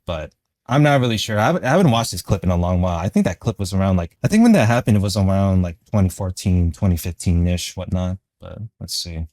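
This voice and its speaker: A man's voice: deep voice, lively intonation, quick pace, darkish tone, dry recording, studio quality. A man giving casual, unscripted video commentary in a rambling, hesitant way.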